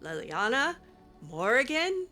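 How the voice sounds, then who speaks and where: A woman's voice: sits high, sing-song, takes it slowly, sharp tone, soft background music. A woman hosting a game roleplay, talking back to characters with sarcastic, hesitant remarks and laughs. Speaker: roleplay game player and channel host.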